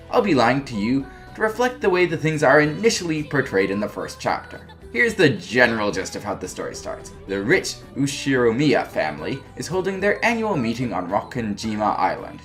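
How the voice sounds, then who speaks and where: Animated pitch, mid-range voice, scripted review voice-over, wry emphasis, casual wording, background music. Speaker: video game reviewer.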